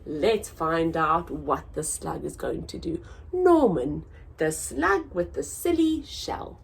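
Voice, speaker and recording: A woman hosting a children's story time, reading a picture book aloud in an expressive, sing-song way for small children. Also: low voice, takes it slowly, gravelly, breathy edge.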